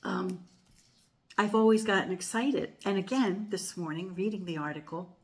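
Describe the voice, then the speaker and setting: A woman's voice: mid-range voice, moderate pace, slight background noise. An older woman from the United States hosting her tarot channel, talking casually to viewers in a rambling way, with lots of fillers and self-corrections. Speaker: tarot reader and channel host. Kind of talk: casual chatty monologue to viewers.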